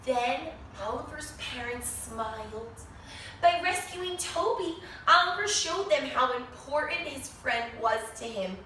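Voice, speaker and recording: A woman reading a children's storybook aloud expressively, with dramatic pauses and questions to her young listeners. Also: high voice, lively intonation, pushed and bright. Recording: echoey room, distant mic, faint noise.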